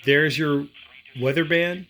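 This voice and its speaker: A man's voice: on the high side, steady tone, slow pace, bright, forceful tone, slight background noise. Guy rambling thru a product review, laid-back, lots of "kinda get the idea". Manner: rambling, filler-heavy, offhand. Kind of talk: casual unboxing review.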